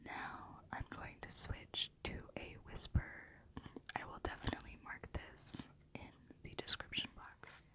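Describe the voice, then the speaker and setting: A woman reading a children's storybook in a gentle, hushed ASMR style, dropping into whispers. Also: unhurried. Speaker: ASMR reader.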